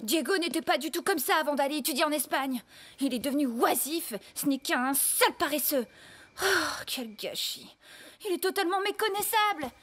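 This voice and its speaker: A woman playing a spirited, talkative young sister in a French cartoon dub, expressive and feisty. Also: high-pitched, moderate pace. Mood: exasperated. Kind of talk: French animated series dubbing. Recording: music behind the voice.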